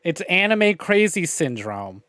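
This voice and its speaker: A man's voice: sits high, very animated, unhurried, sharp tone, dry recording. A man giving casual, opinionated livestream commentary on a visual novel game, with wry, profane grumbling. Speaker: game streamer.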